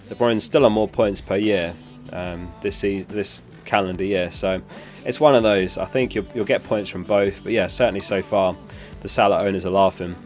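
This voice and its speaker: A young man hosting a live stream, chatting casually and answering questions from chat while getting over a lost voice. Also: on the low side. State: recovering from a lost voice. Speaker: streamer host.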